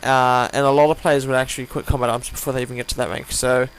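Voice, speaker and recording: A tired man with a croaky voice gives casual, unscripted game commentary, rambling and hesitant as he reviews. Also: mid-range voice, little pitch movement, fast, rough recording. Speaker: gaming video host reviewing a weapon. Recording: home recording with audible hum, background noise.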